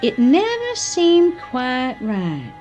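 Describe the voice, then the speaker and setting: A woman's voice: high voice, huge pitch swings, drawn-out words, darkish tone, highs cut off, faint music behind, heavily acted. A woman playing a defiant, calculating oilwoman and blackmailer in an audio drama. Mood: cool, accusing.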